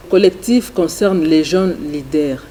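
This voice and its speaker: A male TV host asking formal, measured questions in a studio interview. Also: on the high side, mellow, a little hiss or hum, rough recording.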